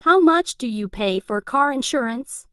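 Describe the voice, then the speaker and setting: A woman reading an English lesson, saying each practice phrase twice in a slow, even way. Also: sits high, clean tone, no room sound.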